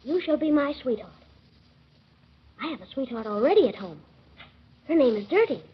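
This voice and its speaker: A woman's voice: sits high, big pitch swings, normal speed. A woman playing an earnest little boy in a 1930s radio drama, asking plain, eager questions. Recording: dull 1930s radio broadcast.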